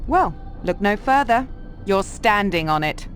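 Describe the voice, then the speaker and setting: Lady acting a wary sharp-tongued woman in a medieval game, normal pitch, dry, faint music under it. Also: lively intonation, unhurried, close to an everyday voice. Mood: wary, challenging. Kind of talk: video game cutscene acting.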